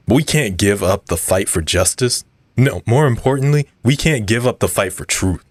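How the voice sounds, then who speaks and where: A man playing a calm, earnest community activist being interviewed in an audio drama, speaking in a measured way. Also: on the low side, dry recording. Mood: resolute. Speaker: radio show guest.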